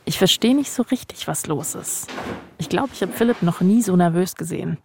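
A woman's voice: on the low side, lively intonation, brisk, vocal fry, slight background noise. A woman hosting and narrating a scripted podcast story. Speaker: narrator, host.

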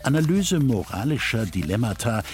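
A man's voice: medium pitch, very animated, normal speed, darkish tone, faint music behind. A man doing a formal read-out of academic titles. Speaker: reader of quoted titles.

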